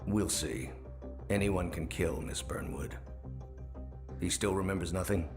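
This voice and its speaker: An actor playing a stern, skeptical older spy agency superior in a game, with grave, deliberate, clipped delivery. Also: male voice, low-pitched, over music. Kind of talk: scripted game cutscene dialogue.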